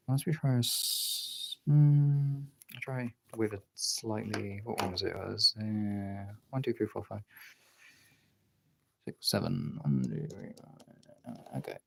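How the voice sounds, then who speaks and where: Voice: low voice, unhurried, creaky, long pauses, background noise. A streamer chatting casually while drawing, absent-mindedly thinking aloud in half-muttered remarks.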